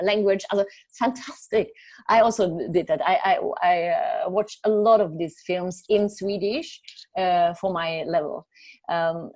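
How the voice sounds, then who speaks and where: Female guest speaker. Slightly low voice, dry sound, informal answers with halting word searches. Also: dark tone, dry recording. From Germany or the Netherlands. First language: German. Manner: halting, searching for words, frequent self-repairs. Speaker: journalist and author, guest speaker. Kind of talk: informal spoken talk answering audience questions. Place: online video call.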